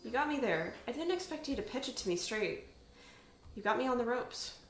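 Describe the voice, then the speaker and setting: A cool, evasive teenage girl played by a woman reader from a story. She talks casually and teasingly while keeping her guard up. The voice has some creak and a roomy echo around it. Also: on the high side, expressive, fairly quick. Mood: amused. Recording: roomy echo with music behind.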